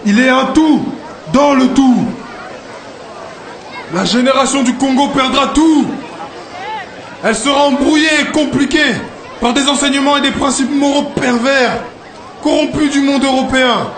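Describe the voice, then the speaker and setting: Guy playing a solemn cartoon prophet preaching freedom to his people, really high voice, declaiming, echoey noisy sound w/ crowd. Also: a little slow, pauses often, a bit bright, heavily acted. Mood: grave, admonishing. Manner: declamatory, exhortative preaching with emphatic slogans. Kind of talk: animated film oratory, prophetic speech.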